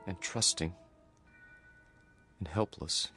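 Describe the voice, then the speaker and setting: An actor playing an earnest, vulnerable psychiatric patient in a radio drama, with a mild, hesitant manner. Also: male voice, sits low, takes it slowly, bright, forceful tone, soft background music, full character voice. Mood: anxious, yearning.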